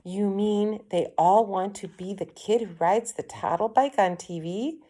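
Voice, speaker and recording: A woman's voice: mid-range voice, unhurried, mellow, voice pushed up, close to an everyday voice. A woman voicing a curious, eager school-age boy in a children's storybook, in a questioning manner.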